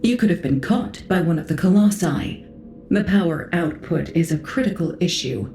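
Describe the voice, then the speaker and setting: Lively, mellow-voiced female engineer. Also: on the low side, normal speed. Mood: wry, playful. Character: wry, self-assured female engineer. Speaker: game character. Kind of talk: scripted video game dialogue. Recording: roomy echo, faint music.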